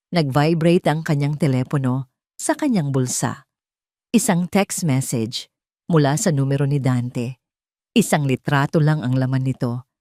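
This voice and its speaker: Low-voiced woman narrating romantic drama. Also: dark tone, no echo, studio quality. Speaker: story narrator.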